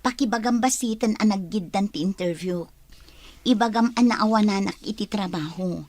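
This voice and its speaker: A woman's voice: mid-range voice, normal speed, a little mellow, close to an everyday voice. A woman playing a coaxing, easily miffed young job seeker in an audio drama, with a Filipino Ilocano accent. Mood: apologetic.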